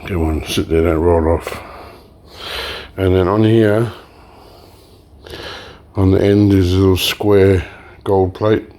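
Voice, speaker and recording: Low, mellow-voiced man demonstrating. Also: slow, pauses often. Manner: relaxed, explains while working, frequent fillers and restarts. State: slightly hoarse, clearing throat. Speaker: e-waste recycling channel host. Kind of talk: casual hands-on demonstration.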